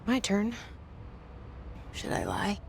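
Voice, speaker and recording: Hushed, guarded young woman's inner monologue. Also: medium pitch, wildly swooping pitch, slow, creaky, a little hiss or hum, exaggerated voice. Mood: guarded, musing. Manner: hushed, often near a whisper. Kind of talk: intimate inner-monologue narration in a game. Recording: loud music behind.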